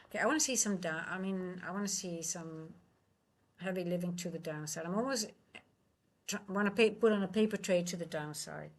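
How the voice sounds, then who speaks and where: A woman with a Dutch accent, a native Dutch speaker, hosting a live trading stream, thinking aloud in halting, casual commentary. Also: lowish voice. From Netherlands.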